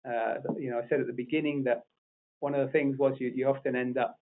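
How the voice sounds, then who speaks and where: Halting man presenting a webinar. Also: medium pitch, little pitch movement. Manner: halting, many fillers and restarts. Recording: narrow phone-like audio.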